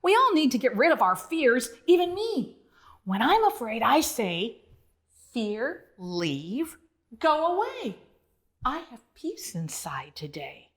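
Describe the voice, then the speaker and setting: A woman's voice: high-pitched, big pitch swings, takes it slowly, exaggerated voice. A woman voicing a comforting, encouraging male animal mentor in a children's storybook, coaching with emphasis. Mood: soothing. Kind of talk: children's storybook read-aloud.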